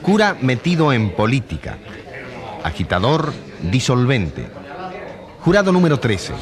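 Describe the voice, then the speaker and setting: A man's voice: medium pitch, huge pitch swings, unhurried, crowd noise. A man playing a solemn radio announcer reporting a trial, declamatory and dramatic. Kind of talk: dramatic radio courtroom commentary.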